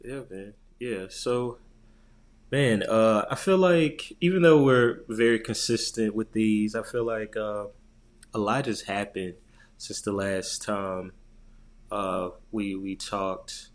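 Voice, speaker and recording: A man's voice: on the low side, steady tone, takes it slowly. A man from the United States co-hosting a podcast in a laid-back, rambling, casual way. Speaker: podcast co-host. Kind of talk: casual chat.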